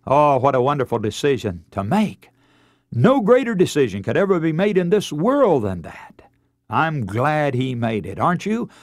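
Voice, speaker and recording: An American man delivers a radio sermon as a dramatic story. His voice sits a little high and swings widely in pitch, with an emotive, theatrical delivery. The sound is dry and close. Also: very animated, moderate pace, dry recording. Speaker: preacher.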